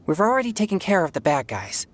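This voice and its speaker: An actor playing an earnest, responsible young male exorcist in a video game, with a youthful, husky voice. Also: high voice, big pitch swings, fast talker. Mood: reassuring.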